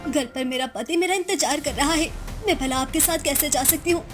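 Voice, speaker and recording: Woman playing a very high-pitched, pleading young wife. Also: fairly quick, over music, lightly acted. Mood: frightened, pleading. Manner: hesitant, imploring. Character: pleading, humble young wife of poor woodcutter. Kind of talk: Hindi moral-story cartoon dialogue.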